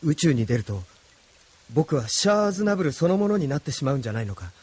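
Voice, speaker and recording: A man's voice: fairly high pitch, sing-song, fast, a little mellow, husky. A man playing an earnest, troubled young hero in a Japanese audio drama, speaking introspectively. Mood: anxious.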